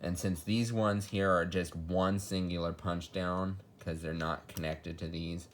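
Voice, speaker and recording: A man's voice: sits low, flat delivery, a little slow. A man giving a casual, unscripted technical walkthrough in a matter-of-fact, explanatory way, as a hobbyist showing equipment. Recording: noticeable background noise with clicks.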